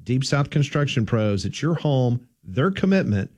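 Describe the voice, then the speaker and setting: A man's voice: medium pitch, big pitch swings, unhurried, a little mellow, breathy edge. A man hosting a sports talk radio show, easygoing and folksy, who also reads the sponsor ads himself. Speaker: radio host.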